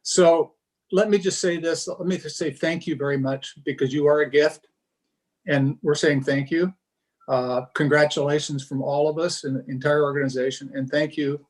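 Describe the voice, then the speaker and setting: A man giving an award speech over a video call, with many pauses and fillers. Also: mid-range voice. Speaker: presenter of the award.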